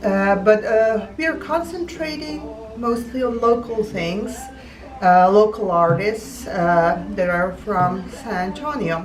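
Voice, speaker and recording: A woman's voice: mid-range voice, slow. A woman from Serbia, an artist and gallery owner answering interview questions, hesitant and full of ums while searching for words. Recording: roomy, distant mic with music behind.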